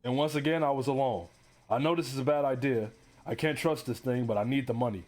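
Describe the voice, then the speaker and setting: A man's voice: mid-range voice, fast talker, a little mellow, close to an everyday voice. An actor playing a broke, desperate divorced man who narrates his analog horror story in a resigned, confessional, wry way. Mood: desperate resolve.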